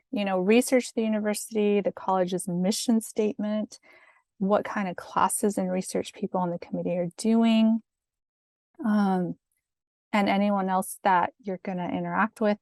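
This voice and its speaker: A calm, soft-spoken woman giving chatty career advice over slides, with fillers and little laughs. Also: mid-range voice, dry recording, studio quality. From family from Mexico. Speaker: academic career coach. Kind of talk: casual instructional talk over slides.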